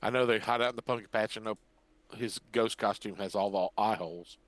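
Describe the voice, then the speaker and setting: An older man hosting a podcast in casual chat, rambling and pausing as he recalls things. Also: fairly low pitch, fairly bright tone. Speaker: podcast host.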